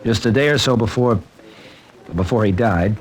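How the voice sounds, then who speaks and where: An American actor playing a troubled college teacher with a halting, earnest way of talking, in 1940s-style radio drama. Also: male voice, on the low side, lightly acted. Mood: troubled, hesitant. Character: troubled, hesitant male college teacher confiding in a friend.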